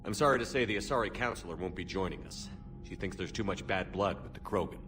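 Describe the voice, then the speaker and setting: Terse, firm space commander, very deep voice, music under. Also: male voice, expressive, quick pace. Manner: terse, firm, low-key. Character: hard-edged male starship commander. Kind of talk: video game voice acting. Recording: game cutscene with music.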